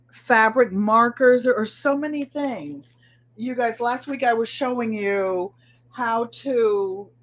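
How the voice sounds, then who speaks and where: Slow, chatty nasal New England woman. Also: fairly high pitch. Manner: chatty, unhurried, talks to viewers by name. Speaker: craft livestream host. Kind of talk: casual live craft demo chat.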